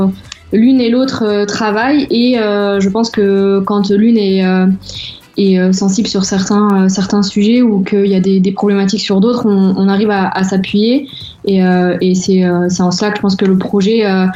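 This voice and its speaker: Twenties woman, mellow voice, lots of stumbles, kinda echoey dull line. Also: mid-range voice. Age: 20s. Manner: hesitant, repeats words. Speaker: association volunteer. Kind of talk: radio interview guest. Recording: roomy, dull audio.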